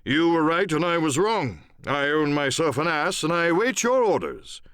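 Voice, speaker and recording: A man voicing a pompous, excitable English gentleman squire in an audiobook drama, with a grand, blustering delivery. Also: on the high side, moderate pace, no room sound, clean studio sound, pitched above the performer's own voice. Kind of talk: audiobook radio-play character acting.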